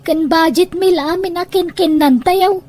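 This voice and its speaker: A woman's voice: very high-pitched, unhurried, darkish tone, a little hiss or hum. A woman playing a blunt, nagging older mother of a bride in an Ilocano family drama. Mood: fretful.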